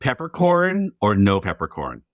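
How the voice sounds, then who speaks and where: Casual middle-aged man, lowish voice, dry sound. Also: huge pitch swings, a little slow, dry recording. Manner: informal, playful. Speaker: podcast host. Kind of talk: casual, conversational interview chat.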